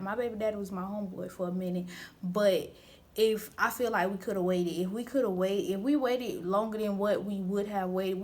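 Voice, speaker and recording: A woman's voice: mid-range voice, darkish tone. A young woman of twenty tells a personal storytime straight to her viewers. She talks candidly and casually, full of slang, and rambles with frequent restarts. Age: 20s. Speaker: vlogger.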